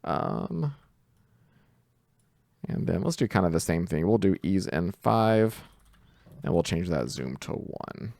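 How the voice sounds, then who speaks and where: A man's voice: sits low, sing-song, slow, vocal fry, pauses often, a little mellow, no echo, clean studio sound. A man narrating a screen-share tutorial in a casual, informal way, explaining things step by step as he goes. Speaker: tutorial host.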